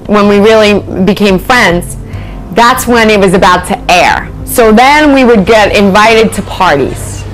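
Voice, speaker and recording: A woman's voice: medium pitch, rough recording. Candid woman friend recalling over music. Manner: casual and candid. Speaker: friend interviewed about her. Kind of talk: interview recollection.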